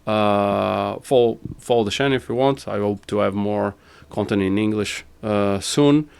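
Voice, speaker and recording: A podcast host speaks English with a Portuguese accent, his first language showing through. His voice sits low and carries a gravelly fry. He talks in a halting way, stacking repeated words while he hunts for the right phrase. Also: darkish tone. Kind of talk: casual interview chat.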